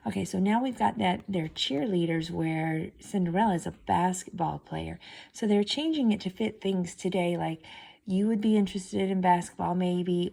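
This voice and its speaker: Lady teacher doing a read aloud, lots of vocal fry, explains stuff simply. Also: on the low side, mellow. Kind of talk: story read-aloud with explanatory asides to students.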